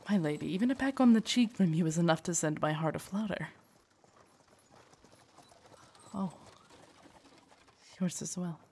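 A woman's voice: mid-range voice, mellow, slightly rough, natural voice. A woman playing a devoted, earnest female knight in an audio drama, speaking in a formal, courtly way that turns hesitant and shy. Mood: tender, shy. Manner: formal, courtly, hesitant and stammering when flustered. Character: devoted female knight, tender, earnest, shyly lovestruck royal guard. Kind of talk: roleplay audio drama, intimate scripted monologue.

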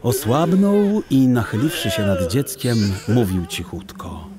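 A man narrating a children's audio story in an expressive, literary storytelling style. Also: mid-range voice, unhurried, crowd noise.

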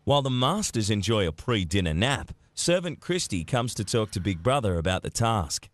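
A man reading a scripted reality TV voice-over in a crisp announcing delivery. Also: fairly low pitch, sing-song, normal speed, no room sound. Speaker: show narrator. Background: music.

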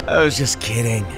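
A man's voice: mid-range voice, close to an everyday voice. A man acting an eccentric, playful master mentor in a game cutscene, flippant, teasing and theatrical.

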